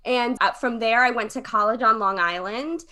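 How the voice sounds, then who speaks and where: A woman's voice: a little high, moderate pace, pushed and bright. A woman from Connecticut chatting casually and informally as a comedian guest on a podcast. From Connecticut, USA. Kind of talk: casual chat.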